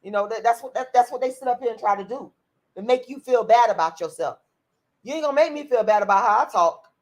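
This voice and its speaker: A woman's voice: a little high, quick pace, a little mellow. A woman hosts a livestream, reading viewer comments and answering them bluntly and profanely, repeating herself often. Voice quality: raspy voice. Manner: outspoken.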